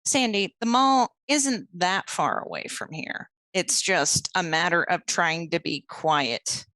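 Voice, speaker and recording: A woman narrating as storyteller for a tabletop werewolf game, setting scenes slowly and deliberately. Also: lowish voice, expressive, bright, forceful tone, dry recording.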